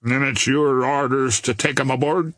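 A man voicing a blunt, suspicious yacht captain in an audiobook, gruff and plain-spoken. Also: mid-range voice, fairly even intonation, normal speed, fairly bright tone, no room sound, voice pushed up, lightly acted. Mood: reluctant obedience.

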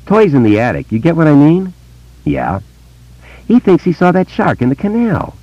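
A man voicing a curious, excitable small-town boy who talks in a quick, slangy way. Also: a little high, no echo, pitched above the performer's own voice. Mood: excited. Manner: breathless, excitable, slangy kid talk. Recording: dull lo-fi dry recording.

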